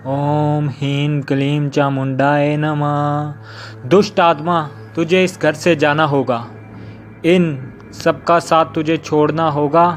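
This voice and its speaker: A man's voice: on the high side, level tone, slow pace. A man playing a commanding, theatrical figure in a dramatized children's horror story. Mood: solemn, commanding. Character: exorcist priest chanting mantras, and scheming gang boss. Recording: music behind the voice. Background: music.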